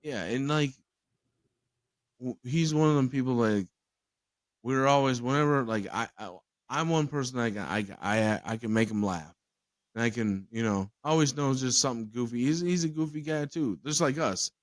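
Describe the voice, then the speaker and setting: A man's voice: medium pitch, normal speed, no room sound, studio quality. A man hosting a casual podcast chat who rambles, swears freely and laughs often. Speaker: podcast host.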